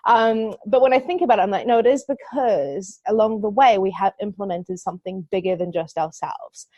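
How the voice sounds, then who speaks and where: A woman's voice: mid-range voice, a little fast, warm tone, no room sound, muffled recording. A woman from New Zealand with a New Zealand accent, a marketing agency owner talking earnestly as a podcast guest and thinking aloud with fillers. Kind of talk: podcast interview.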